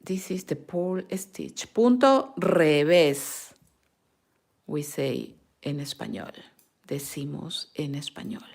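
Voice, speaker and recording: A woman's voice: low-pitched, lively intonation, slow pace, creaky, darkish tone, slightly rough. A woman from Peru with a Peruvian Spanish accent, a native Spanish speaker, teaching knitting patiently and counting stitches aloud, sometimes slipping into Spanish. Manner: mixes in Spanish words. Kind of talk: knitting tutorial.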